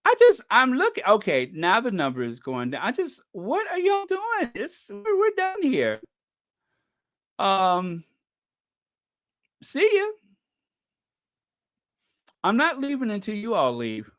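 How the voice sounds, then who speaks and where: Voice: medium pitch, wildly swooping pitch, slow, pauses often, fairly bright tone. Rambling, jokey live-stream host. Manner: rambling, joking, chuckling at own jokes; talks to chat viewers by name. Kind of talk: casual live-stream sign-off chat.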